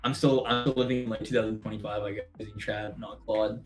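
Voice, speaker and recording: A man's voice: fairly low pitch, level tone, fairly quick. A young man from Canada co-hosting a trading live stream, chatting casually with a lot of fillers and frequent laughs. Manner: chatty, laughs often, filler-heavy rambling. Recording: roomy sound with faint music.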